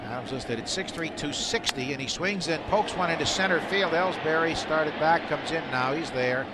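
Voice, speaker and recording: Higher-pitched baseball radio announcer, man, very noisy. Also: normal speed. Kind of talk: live radio sports commentary. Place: outdoor stadium broadcast. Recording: very noisy outdoor ballpark broadcast. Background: loud steady outdoor ambient noise.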